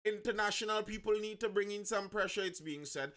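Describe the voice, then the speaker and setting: Bright-voiced Trinidadian man talking news. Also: high-pitched, fairly quick. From Trinidad and Tobago. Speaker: host of a live news discussion stream. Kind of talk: conversational news commentary to live viewers.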